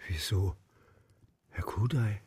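An actor playing a gruff old grandfather in a radio play, with a husky, breathy voice and weak, halting speech. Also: fairly low pitch, a little mellow, no room sound, full character voice. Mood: weak, puzzled. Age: older. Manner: weak, laboured, halting delivery. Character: gruff, wounded old Mongolian herdsman grandfather.

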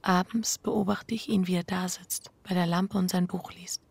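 Verängstigte, schuldbewusste Ehefrau im Hörspiel. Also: female voice, fairly low pitch, fairly even intonation, normal speed, creaky, slightly rough, no room sound. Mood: quiet, pensive. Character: anxious, guilt-ridden adulterous married woman. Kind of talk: radio drama acting. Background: music.

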